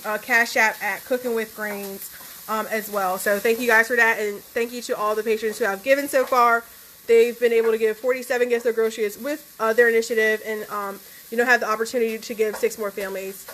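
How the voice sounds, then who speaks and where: A young woman with a high, bright voice reads viewer greetings one after another. She has a friendly, steady delivery, and faint noise sits behind her voice. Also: a little fast, rough recording. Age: young adult. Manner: friendly, steady list-reading. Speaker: co-host reading viewer comments. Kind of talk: reading out live chat greetings. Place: home kitchen, indoors.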